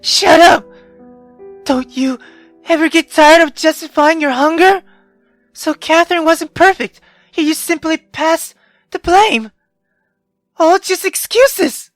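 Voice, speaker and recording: A woman voicing a defiant, anguished young woman in a visual novel, speaking in a forceful, accusing way. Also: very high voice, takes it slowly, long pauses, dry recording, faint music behind, voice pushed up. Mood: furious accusation. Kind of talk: dramatic visual novel read-aloud.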